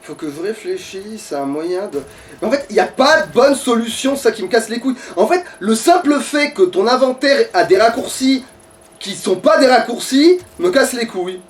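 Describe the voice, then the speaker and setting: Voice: medium pitch, very animated, normal speed. A gamer giving casual, sweary and grumbling commentary during a let's play. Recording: distant mic with background noise.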